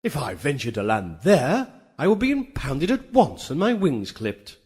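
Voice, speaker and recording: Man voicing a lofty, animated winged horse Pegasus. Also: a little high, measured pace, breathy edge, full character voice. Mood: haughty, indignant. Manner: lofty, formal, indignant diction. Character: Pegasus, proud fastidious winged horse of the muses. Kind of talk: dramatised storybook reading.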